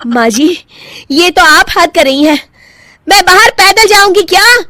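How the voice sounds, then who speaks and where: A woman's voice: very high-pitched, unhurried, bright, forceful tone, a little hiss or hum, full character voice. A woman voicing a selfish, insolent young daughter-in-law in a cartoon, pert and dismissive. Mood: indignant.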